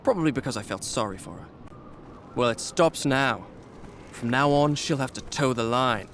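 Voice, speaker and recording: A man's voice: mid-range voice, normal speed, fairly bright tone, slight background noise, natural voice. An actor playing a proud, sardonic young Persian prince, telling his adventure in eloquent, formal, dramatic game dialogue. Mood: sardonic, indignant. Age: young adult. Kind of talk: dramatic video game voice acting and storytelling. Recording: music behind.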